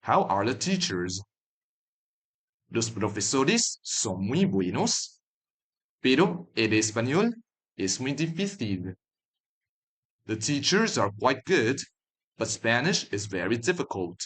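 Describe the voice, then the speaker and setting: A man's voice: medium pitch, very animated, slow, pauses often, a bit bright, breathy edge. A man narrating a language lesson as a teacher, reading phrases out for learners to repeat.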